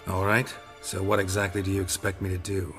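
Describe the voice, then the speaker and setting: An actor playing a wry, stoic monster hunter in a video game, gravelly and laconic with deadpan, clipped phrasing. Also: male voice, sits low, brisk, faint music behind. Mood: wry, flat. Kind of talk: video game cutscene dialogue.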